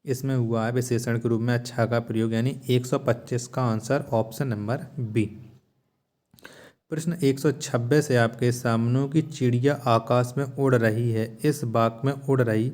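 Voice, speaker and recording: A man's voice: mid-range voice, steady tone, moderate pace, a little mellow. A man teaching a lesson, methodically reading out quiz questions and repeating each key answer after a rhetorical question. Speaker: Hindi grammar teacher for exam prep.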